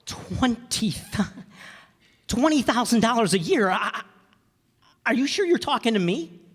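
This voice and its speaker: An actor playing an earnest, self-doubting young husband in a radio play, with a halting, folksy stammer. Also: male voice, very high-pitched, sing-song, breathy edge, heavily acted. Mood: flustered, amazed. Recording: echoey hall, stage reverb.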